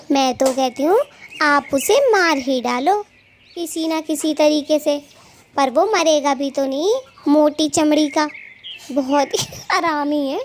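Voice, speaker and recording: A woman's voice: high voice, a little hiss or hum. A woman voicing a gossipy, scheming female bird thief who dotes on her husband, in a dramatic, exclamatory children's cartoon manner. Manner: dramatic, exclamatory, scolding and fawning. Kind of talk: Hindi children's cartoon dialogue.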